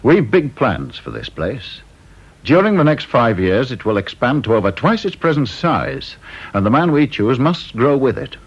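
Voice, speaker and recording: An actor playing a sardonic, talkative senior security interviewer, British, with a dry, teasing, mock-polite manner in radio drama style. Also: male voice, medium pitch, sing-song, normal speed, husky. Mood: smooth, authoritative. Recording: dull, muffled old radio recording.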